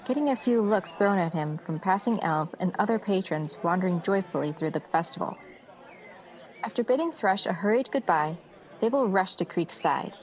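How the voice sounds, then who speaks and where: A woman's voice: medium pitch, a little mellow, poor audio. A woman narrates a scripted fantasy tale in a mid-range voice. Faint crowd ambience runs behind her storytelling. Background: festival crowd ambience.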